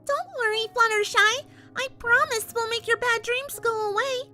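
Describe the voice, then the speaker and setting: A woman voicing an excitable, insistent young cartoon pony mare in a fan fiction reading, with emphatic delivery. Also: very high-pitched, moderate pace, faint music behind. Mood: reassuring.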